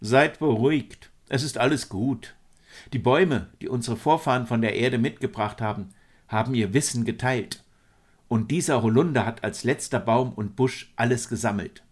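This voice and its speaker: A man's voice: normal speed, slightly rough, natural voice. A man voicing a serene, powerful being, part tree and part young woman, speaking solemnly and reassuringly in a story. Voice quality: deep, powerful.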